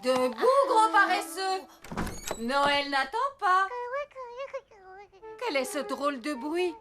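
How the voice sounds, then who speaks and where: A woman voicing a brisk, bossy female supervisor at Santa's toy factory in a children's cartoon. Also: high-pitched, animated. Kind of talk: children's cartoon dub acting. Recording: loud music bed behind the voice.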